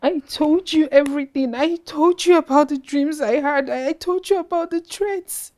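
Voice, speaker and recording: A woman with a very high, piercing voice plays a mother undone by grief. She sobs and accuses, repeating herself through tears. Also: moderate pace, darkish tone. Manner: sobbing, accusing. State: crying. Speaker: grieving mother. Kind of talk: melodrama acting.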